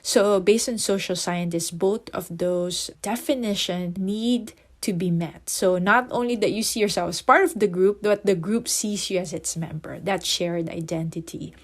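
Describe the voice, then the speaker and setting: A woman's voice: mid-range voice, moderate pace, hardly any pauses. A middle-aged woman from the Philippines with a Filipino accent, hosting a podcast and explaining ideas in a friendly teaching tone. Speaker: podcast host and psychotherapist. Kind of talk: casual podcast talk.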